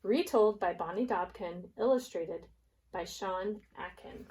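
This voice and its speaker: A woman's voice: medium pitch, measured pace, a little mellow, a little hiss or hum, low-quality recording. A woman preschool teacher reading a storybook aloud for young children, explaining things expressively as she goes.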